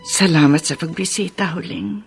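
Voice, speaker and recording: Husky, suffering sick mother. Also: lowish voice, moderate pace, faint music behind. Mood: weary. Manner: strained, gasping delivery. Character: gravely ill mother, suffering yet tender. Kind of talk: Tagalog radio drama acting.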